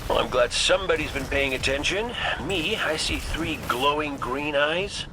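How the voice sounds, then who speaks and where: Actor as a wisecracking robot gunslinger. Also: male voice, a little high, moderate pace, noisy background, lightly acted. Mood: wry. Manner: wry, flippant, casual. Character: wisecracking, cocky male robot gunslinger, easygoing veteran. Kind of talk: video game dialogue. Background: game music.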